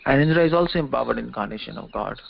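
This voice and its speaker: A man with an Indian accent giving an extempore religious lecture on scripture, haltingly, repeating and restarting phrases as he explains. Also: medium pitch, animated, creaky. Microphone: conference call line. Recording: dull lo-fi call audio, faint noise, clicks.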